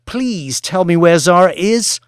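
A man's voice: sits high, expressive, measured pace, clean tone, no echo, clean studio sound, pitched above the performer's own voice. A man voicing an anxious, earnest younger man who pleads deferentially, in a dramatised audiobook. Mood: pleading.

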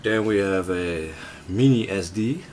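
Male, Dutch accent, low register, unhurried and hesitant amateur tech commentary, noisy room recording. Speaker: tech reviewer. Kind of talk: casual unboxing commentary. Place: indoors at a desk in a room.

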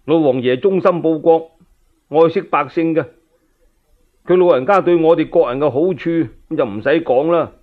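A man's voice: fairly high pitch, moderate pace, natural voice. Through a tinny radio recording comes a man acting a loyal, poor labourer in a Cantonese historical tale. He laments and appeals earnestly, in exclamations. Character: grieving, loyal poor male labourer rallying townsfolk. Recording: narrow-band, phone-like radio recording.

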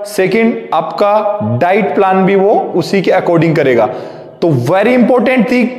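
A man, a gym trainer giving fitness tips slowly and deliberately, mixing in English phrases. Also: sits high, big pitch swings, darkish tone. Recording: echoey hall reverb.

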